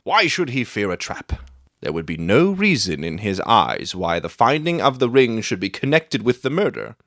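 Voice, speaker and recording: A man playing a self-assured gentleman detective in a dramatic audiobook, theatrical and articulate as he expounds his deductions. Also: on the low side, very animated, normal speed, no echo, studio quality. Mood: confident, explaining.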